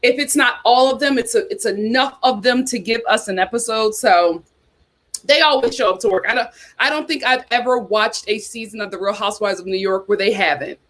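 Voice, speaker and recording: A woman's voice: a little high, a little fast, fairly bright tone. A young woman hosting a casual livestream, chatting and reading viewer comments, repeating herself as she talks. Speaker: YouTube host.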